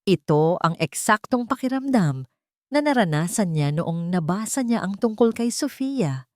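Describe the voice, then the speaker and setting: A woman reading dramatic scripted story narration in a measured way, with short weighted phrases. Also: mid-range voice, expressive, a little mellow, no echo, clean studio sound.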